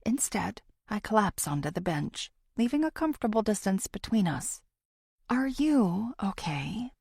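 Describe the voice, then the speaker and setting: A woman reading a first-person thriller audiobook in a dramatic, expressive way. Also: mid-range voice, moderate pace, gravelly, slightly rough, no echo, clean studio sound. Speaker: audiobook narrator.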